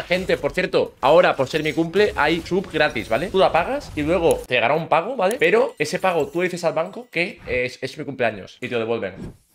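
A man in his twenties streaming and commenting on a video game, joking fast with his chat from a small room on a headset mic. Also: on the high side, fairly bright tone, slight background noise. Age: 20s. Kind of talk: live gameplay commentary. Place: small room, gaming chair.

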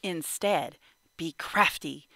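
A woman reading a children's picture book aloud in an animated, measured storytelling manner. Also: sits low, creaky, no room sound, clean studio sound. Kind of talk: expressive children's read-aloud narration.

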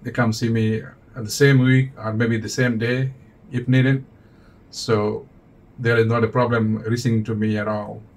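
A man in his forties from Bangladesh, a doctor, answering interview questions plainly and calmly in an office, with slightly English. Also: mid-range voice, a little hiss or hum. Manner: plain, measured, slightly non-native English. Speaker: physician, interview guest.

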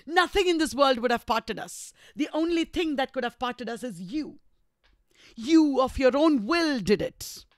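A tormented, savage male lover from a classic novel, acted out by a woman. The delivery is impassioned and accusing, high in pitch, with drawn-out pacing. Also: expressive, dry recording, clean studio sound. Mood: accusing, heartbroken. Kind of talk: dramatic reading of a classic novel.

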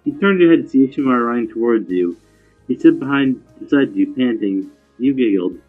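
Guy reading fanfic out loud, jokey comments, lo-fi thin mic, soft guitar music in back. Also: mid-range voice, expressive, a little fast, slightly rough. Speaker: fan fiction reader and commentator. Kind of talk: casual narration with joking asides. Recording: thin, phone-like lo-fi audio.

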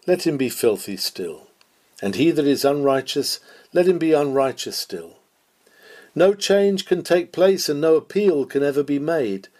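A man's voice: medium pitch, darkish tone. A man reading a scripted historical sermon aloud in a solemn, declamatory preaching manner.

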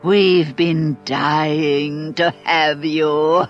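An actor playing a ghoulish, gleefully macabre host in a spooky promo, theatrical and sly. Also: male voice, on the high side, steady tone, drawn-out words, faint music behind. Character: ghoulish host gleefully inviting listeners to die. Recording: dull, muffled recording.

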